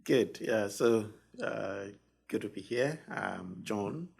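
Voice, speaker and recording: Middle-aged man from Ghana, guest professor, deep husky delivery, unhurried and thoughtful. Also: expressive, darkish tone. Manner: measured, many pauses and fillers. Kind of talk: conversational interview.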